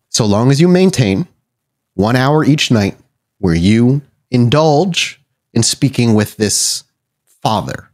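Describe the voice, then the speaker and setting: A man working as game master, narrating a tabletop horror roleplay game in improvised second-person storytelling. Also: on the low side.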